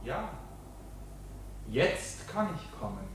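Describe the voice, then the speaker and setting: Long reverb and faint noise surround a grave chaplain of the court. He speaks in weighty, oracular phrases with a widely swinging melody, stern yet gentle, in the manner of old radio drama. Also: on the high side, sing-song, exaggerated voice. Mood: solemn, admonishing. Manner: measured, weighty, oracular delivery. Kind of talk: classic German radio drama acting.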